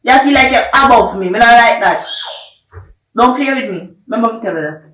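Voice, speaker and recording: A bossy young woman, acted by a woman who scolds and warns in a domineering way. Her voice sits a bit high. It comes across from a distance in a roomy space. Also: expressive, rapid-fire, a bit bright. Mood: stern.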